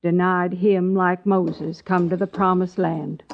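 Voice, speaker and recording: An actress playing a tough, bitter older pioneer widow with a rural American accent in an old-time radio western. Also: female voice, lowish voice, level tone, slow. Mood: mournful. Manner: plaintive, scornful, folksy. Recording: muffled old radio recording.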